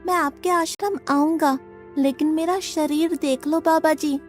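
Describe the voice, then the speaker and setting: A naive little Brahmin boy voiced by a woman with a high-pitched voice, from a devotional cartoon. He is stubborn and innocent, bargaining bluntly, with light music behind him. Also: steady tone, unhurried. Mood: matter-of-fact. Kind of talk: animated devotional story dialogue.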